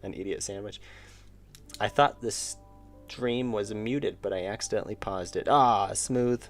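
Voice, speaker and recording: A man's voice: lowish voice, measured pace, faint music behind. A man chatting casually on a live stream while drawing, rambling and thinking aloud with restarts and chuckles, his voice wearing out. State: losing his voice. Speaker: streamer and indie game developer.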